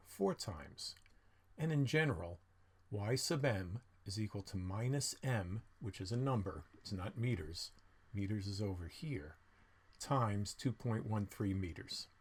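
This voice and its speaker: Man, slightly low pitch, husky tone, teacher narrating a lesson, explanatory, informal asides. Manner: explanatory, poses questions then answers them. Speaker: physics teacher.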